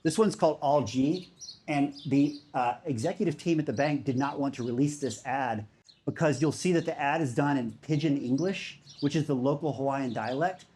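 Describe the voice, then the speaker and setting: A man's voice: medium pitch, moderate pace, darkish tone. A man giving a conference talk in a casual, enthusiastic way, with many fillers. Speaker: speaker.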